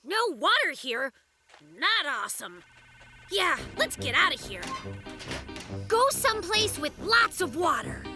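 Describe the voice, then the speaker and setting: An actor playing an excitable, boastful boyish polar bear cub in a children's cartoon, exuberant and full of youthful slang. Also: extremely high pitch, measured pace, long pauses, exaggerated voice. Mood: let down. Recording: children's cartoon music behind.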